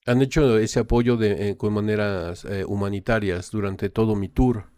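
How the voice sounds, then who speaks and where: A man's voice: fairly low pitch, fairly even intonation, darkish tone. A man hosts a reaction video, translating a speech on the fly. He often hesitates and fills gaps with "eh" and "este". His voice sounds dry and close, with no room echo. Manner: hesitant, filling pauses with "eh" and "este" while translating. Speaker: reaction channel host. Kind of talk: casual live translation and commentary.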